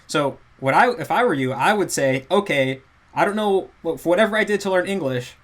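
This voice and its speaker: A man's voice: a little high, animated, brisk. A man, a guest in a casual chat, earnestly explaining at length. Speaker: guest language learner.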